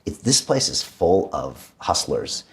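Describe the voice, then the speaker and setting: A man's voice: sits low, sing-song, takes it slowly. A man hosting an interview, talking casually and emphatically, often repeating words. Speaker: podcast host.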